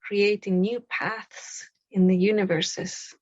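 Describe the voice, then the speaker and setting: Female meditation guide. Unhurried, gentle phrasing with long pauses; dry, slightly dull lo-fi recording. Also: medium pitch, little pitch movement, dry recording. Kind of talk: guided meditation, spoken slowly.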